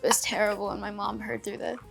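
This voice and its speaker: A young woman chatting casually in a quick question game, telling stories with lots of fillers like "like.". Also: medium pitch, level tone, gravelly, a bit bright. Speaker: actress, interview guest. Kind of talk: casual rapid-fire chat.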